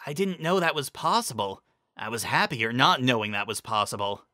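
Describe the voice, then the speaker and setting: A man voicing a gentle, curious female unicorn pony who speaks earnestly. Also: mid-range voice, lively intonation, moderate pace, no echo, clean studio sound. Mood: uneasy, earnest.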